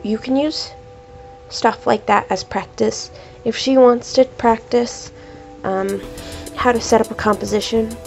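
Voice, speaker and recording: A woman's voice: mid-range voice, vocal fry, a little mellow. A young woman with a stuffed-up cold voice, chatting hesitantly with many ums while she draws at home, with the camera over her paper. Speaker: art YouTuber. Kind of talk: casual commentary while drawing. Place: at home, at a desk, drawing.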